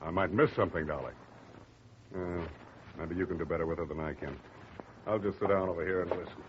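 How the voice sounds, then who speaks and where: Man as a gruff police lieutenant, sarcastic, low voice, 1950s radio play, dull old broadcast sound. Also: fairly even intonation, fairly quick, background noise, natural voice. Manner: needling, sarcastic. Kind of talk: 1950s radio drama acting.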